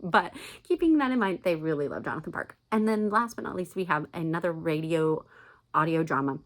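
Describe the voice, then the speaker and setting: A middle-aged American woman chatting casually and emphatically as a video blogger giving recommendations. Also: sits low. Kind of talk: casual chat to camera, recommendations.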